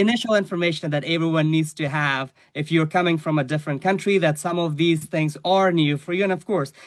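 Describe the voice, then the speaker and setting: A man from Afghanistan who is a charity staff member and interview guest, telling his story in an earnest, measured way with many fillers. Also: fairly high pitch, dry recording, clean studio sound.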